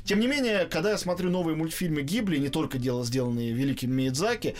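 A man's voice: on the high side, expressive, quick pace. A man hosting an interview, asking long, searching questions in a conversational way and often correcting himself as he goes. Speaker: interviewer, show host.

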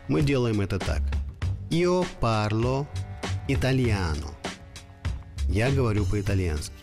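A man presenting a short recorded language lesson segment, saying phrases slowly and deliberately. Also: lowish voice. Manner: slow, deliberate, spelling out phrases. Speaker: language teacher. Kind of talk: slow scripted lesson segment.

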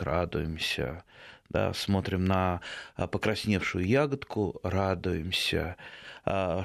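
Male radio gardening expert, on the low side, conversational, musing and halting. Dry studio sound. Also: vocal fry, no room sound.

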